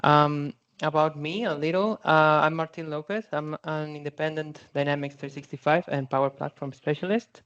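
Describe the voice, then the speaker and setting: A man's voice: a little high, steady tone, moderate pace, a bit bright, clear, resonant tone, muffled recording. A man from Spain with a Spanish accent, a guest speaker giving a friendly but hesitant presentation at a virtual user group, with many fillers and short laughs. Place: online video call.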